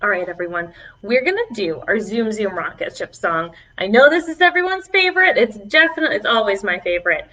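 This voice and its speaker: A woman children's librarian leading a preschool storytime online, encouraging and animated as she talks to young children. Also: medium pitch, a little fast, recorded from a distance. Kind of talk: preschool singalong and chat to children. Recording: dull, lo-fi online video audio.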